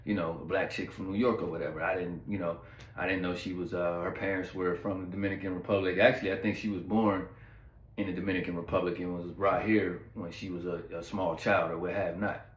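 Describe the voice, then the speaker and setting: A man with a fairly low voice talks loosely to his viewers, drifting through a personal story with lots of fillers and side remarks. His voice rings a little in a roomy, echoey space. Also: level tone, brisk. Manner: rambling, laid-back, heavy on fillers and asides to viewers. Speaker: channel host telling a story. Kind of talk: casual storytime monologue.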